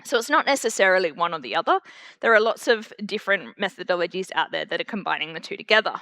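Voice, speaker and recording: An Australian woman speaking at a conference, chatty and loose with plenty of ums. Her voice is bright and sharp, at an ordinary pitch for a woman. The sound is dry and close. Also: animated, fast talker, dry recording. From Perth, Western Australia. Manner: chatty, self-deprecating, many fillers. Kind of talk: conference talk. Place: conference room.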